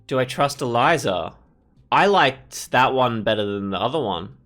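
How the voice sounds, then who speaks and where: A man's voice: medium pitch, lively intonation, normal speed, a bit bright. A man hosting a let's play, commenting informally and thinking aloud over the choices. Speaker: gaming video host. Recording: voice-over with faint music.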